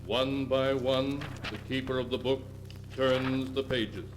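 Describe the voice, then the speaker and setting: Male actor as ominous storyteller, dramatic pauses, vintage radio horror, long reverb, faint noise. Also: medium pitch, fairly even intonation, lightly acted. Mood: grave, suspenseful. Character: ominous male storyteller of dark, strange tales. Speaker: narrator and host of a horror anthology. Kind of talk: 1940s radio horror narration. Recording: hissy 1940s radio recording, long reverb.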